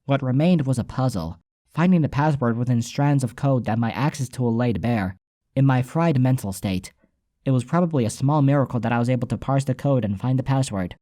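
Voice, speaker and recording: A man's voice: medium pitch, brisk, mellow, dry recording, studio quality. A man reading scripted first-person story narration as an audiobook narrator.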